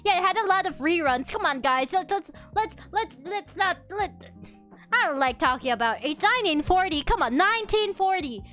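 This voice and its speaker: Young woman vtuber yapping at chat, high voice, lots of restarts, bit of music in the back. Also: moderate pace. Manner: animated, exaggerated outrage, many restarts. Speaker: VTuber streamer. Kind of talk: casual chat while drawing, reading chat.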